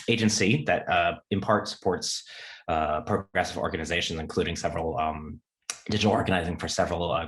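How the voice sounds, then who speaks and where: A man introducing himself on a video call, halting and laughing at his own slips. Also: low-pitched, a bit bright. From not from Spain. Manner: laughs while fumbling words, halting. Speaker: agency director.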